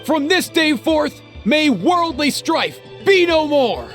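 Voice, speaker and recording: Very high-pitched little game hero. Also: male voice, big pitch swings, slow pace, music in the background, exaggerated voice. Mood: solemn, resolute. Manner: solemn, theatrical declaiming. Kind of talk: video game voice acting.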